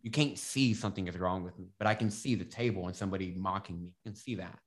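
A man with a slight lisp, a guest on a podcast, talking casually and animatedly, swinging from loud bursts to hushed storytelling. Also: sits low, quick pace. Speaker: podcast guest, transformation coach.

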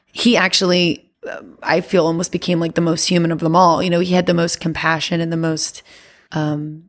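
A woman's voice: fairly low pitch, little pitch movement, normal speed. A woman guest on a podcast, chatting eagerly as a fan and rambling with lots of fillers. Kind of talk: casual chat.

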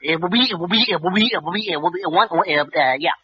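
A man's voice: sits high, quick pace, close to an everyday voice. An actor playing a befuddled, eager sidekick who stutters and repeats his words in a 1940s radio comedy. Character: befuddled, eager, stammering dim-witted sidekick. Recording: noisy, dull vintage radio recording.

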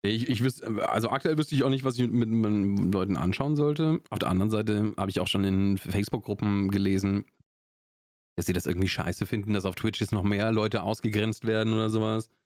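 Young Swabian man, podcast co-host, rattles on quickly in a slightly low voice, lots of "äh", casual chatter. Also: darkish tone, dry recording, clean studio sound. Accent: Swabian German. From Augsburg, Swabia, Germany. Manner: chatty, rambling, lots of fillers and restarts. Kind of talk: casual chat.

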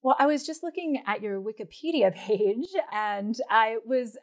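A woman's voice: a little high, animated, moderate pace. A woman speaking as an interviewer in a casual, conversational style.

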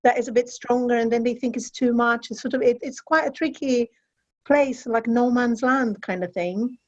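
A woman's voice: on the high side, fairly even intonation, normal speed. A Brazilian-born woman whose first language is Portuguese, speaking English with a British-tinged accent in a reflective, hedging way during a casual roundtable. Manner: reflective, many hedging fillers. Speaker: voice-over artist. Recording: muffled lo-fi audio.

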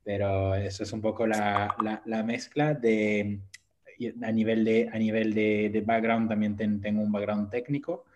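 A man's voice: fairly low pitch, steady tone, measured pace, darkish tone, slight background noise. A man with a French accent, a startup co-founder guest on a podcast, speaking hesitantly and repeating words as he searches for phrasing. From Brazil and France. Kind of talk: conversational podcast interview.

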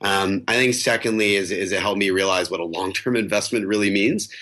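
A young man with a low voice answers interview questions in a loose, thoughtful way. He circles back and restarts often, filling gaps with "um" and "uh". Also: a little fast, a bit bright. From United States. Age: 20s. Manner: reflective, many fillers and restarts. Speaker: podcast guest. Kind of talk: casual interview talk.

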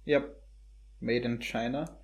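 A man's voice: mid-range voice, fairly even intonation, slow pace. A young adult man talking casually about films in as a host, searching for his words and mixing in English slang. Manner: hesitant phrasing mixed with English slang words. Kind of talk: casual conversational film review.

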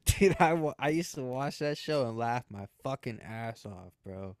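Young guy on a podcast, smooth clear voice, dry close sound, laughs a lot and does voices. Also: mid-range voice, lively intonation, measured pace, a little mellow, no room sound. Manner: laughs often, mimics voices when telling stories. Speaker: podcast co-host. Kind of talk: casual crude banter.

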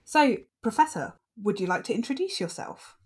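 A woman in casual podcast chat, quick to tease and to poke fun at herself. Her voice is mid-pitched. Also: big pitch swings, husky. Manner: chatty, self-deprecating, teasing. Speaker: podcast co-host, interviewer.

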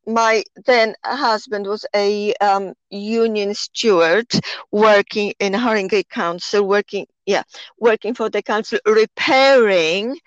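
A woman's voice: on the high side, no echo. A woman in her sixties with a Polish accent talks earnestly in a podcast interview. She makes her points persuasively and circles back over her words. The sound is dry and slightly dull. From Poland. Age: 60s. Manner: earnest, persuasive, repeats herself while thinking.

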